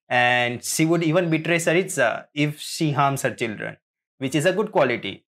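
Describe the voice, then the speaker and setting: Slow-paced man reacting casually. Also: medium pitch, a bit bright. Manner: rambling, halting, chuckling often. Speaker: reaction video host. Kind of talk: casual reaction commentary and fan theorizing.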